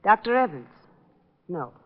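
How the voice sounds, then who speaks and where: An actress playing a guarded, impatient widow in an old radio drama, speaking curtly. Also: female voice, medium pitch, big pitch swings, a little slow. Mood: guarded, irritated. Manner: curt, impatient. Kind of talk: 1940s-50s radio detective acting. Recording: dull old-time radio recording.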